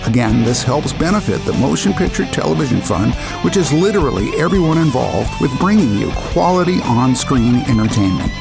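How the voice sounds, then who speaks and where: Animated, gravelly male podcast host. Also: medium pitch. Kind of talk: casual conversational interview hosting.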